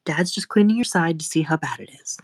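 A woman voicing a caring mother who speaks in a soothing, hushed way. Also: deep voice, expressive, brisk, no room sound, pitched above the performer's own voice. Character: caring mother, gentle, fierce when angered.

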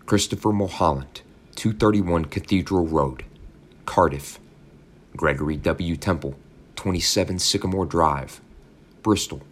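A man voicing a polite, cheerful young lodger who speaks brightly. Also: sits low, measured pace, pauses often.

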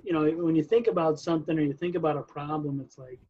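A man's voice: on the high side, fast talker, mellow, highs cut off. A man, a coach, talking casually and reflectively, with many "you know"s. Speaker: wrestling coach. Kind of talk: casual conversation.

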